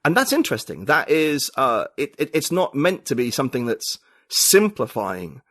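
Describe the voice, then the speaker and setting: A man from the UK talks through his ideas in a loose, rambling way, full of restarts and fillers as he thinks aloud. His voice sits at a middle pitch. The sound is close and dry, with no room echo. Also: big pitch swings, moderate pace, a bit bright. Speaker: podcast guest, writer and poet. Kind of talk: casual conversational interview.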